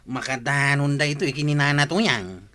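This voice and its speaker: Man acting a bright-voiced meddling villager. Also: a little high, animated, moderate pace. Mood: gossiping. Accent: Ilocano. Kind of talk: Ilocano comedy radio drama acting.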